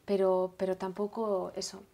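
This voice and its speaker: A woman with a Galician accent talks about fashion in an even, flat tone. She speaks in a measured way, often pausing and restarting. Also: medium pitch, creaky. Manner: even, measured commentary with hesitations. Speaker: fashion commentator. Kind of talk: casual chat.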